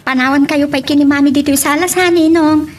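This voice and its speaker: Woman acting a high-pitched, sweet little girl. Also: fairly even intonation, fast talker, close to an everyday voice. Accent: Ilocano Filipino. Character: excited, sweet little girl, affectionate daughter. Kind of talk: Ilocano radio-style drama acting.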